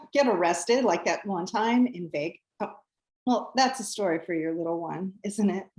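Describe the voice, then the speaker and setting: A woman's voice: medium pitch, normal speed, darkish tone, close to an everyday voice. Woman acting a brash, gushing pregnant best friend. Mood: breezy, teasing. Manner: teasing, theatrical gushing. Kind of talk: acted screenplay table read. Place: remote video call.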